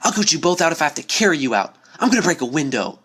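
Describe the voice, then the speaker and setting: Guy doing a brash pegasus pony girl from a story, pitched way up, excitable. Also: very fast, slightly rough, exaggerated voice. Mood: angry, frustrated. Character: brash young female pegasus pony, bold and hot-headed.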